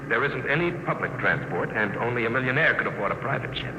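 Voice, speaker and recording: A man playing a glib, falsely friendly, quietly threatening fellow, smooth-talking and patronizing, in 1950s radio drama style. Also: medium pitch, expressive, fast talker, natural voice. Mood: breezy, patronizing. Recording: echoey, hissy 1950 radio recording.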